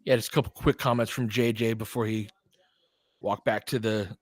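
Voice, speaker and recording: A man doing live basketball play-by-play and analysis as a host, informal and openly partisan, excitable on big plays. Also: fairly low pitch, normal speed, dry recording.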